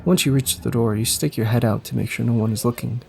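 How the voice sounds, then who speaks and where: A man's voice: mid-range voice, quick pace, creaky. A man narrating a scripted audio story in the second person, with faint ambience behind him. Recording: faint background noise and ambience.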